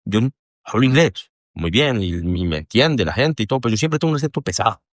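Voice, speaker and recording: A man's voice: mid-range voice, lively intonation, fast, no room sound, studio quality. A young man with a Colombian accent, a podcast guest talking candidly and at length, rambling and self-deprecating, swearing freely. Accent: Colombian Spanish. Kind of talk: candid casual conversation.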